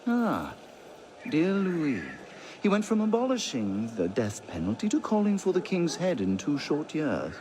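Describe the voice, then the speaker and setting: An actor voicing a witty, debauched aristocratic marquis in a video game, with a theatrical, arch manner. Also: male voice, a little high, wildly swooping pitch, unhurried, a little mellow, a little hiss or hum. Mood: arch, amused.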